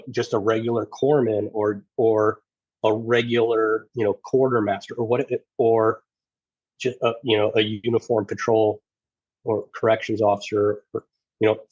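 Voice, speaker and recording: A man with a lowish, husky voice speaks in a flat, even line, earnest and halting as he restarts his thoughts. The sound is dry and close but dull and lo-fi, as if the recording is muffled. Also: no room sound. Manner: earnest, halting, many restarts and "you know"s. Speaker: podcast guest, former federal officer and navy corpsman. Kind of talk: casual conversation.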